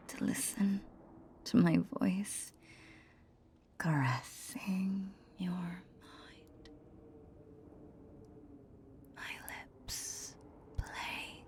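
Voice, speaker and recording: A woman playing a possessive, hypnotic goddess in a whispered ASMR roleplay, coaxing a captive pet tenderly but with command, in breathy phrases with long pauses. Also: medium pitch, darkish tone. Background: ambient sound effects.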